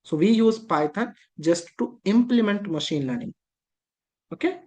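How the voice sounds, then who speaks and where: Instructor, patient and repetitive, mid pitch. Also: animated, measured pace, a little mellow. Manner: patient, repetitive explaining with frequent rhetorical questions. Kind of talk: explaining a lesson.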